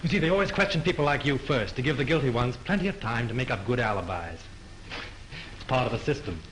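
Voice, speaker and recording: An actor in a 1930s film playing a breezy, wry scenario writer who dabbles in murder cases. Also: male voice, medium pitch, lively intonation, quick pace, a bit bright, slight background noise, natural voice, poor audio. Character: wry breezy young male writer sleuth.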